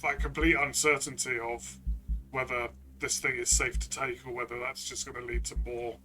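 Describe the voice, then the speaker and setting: A man discussing a game conversationally as a player and reviewer, reflective and pausing mid-phrase. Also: mid-range voice, little pitch movement, pushed and bright.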